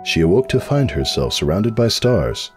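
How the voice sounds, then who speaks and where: Deep-voiced man, animated story narrator. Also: moderate pace, soft background music. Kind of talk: dramatic scripted comic narration.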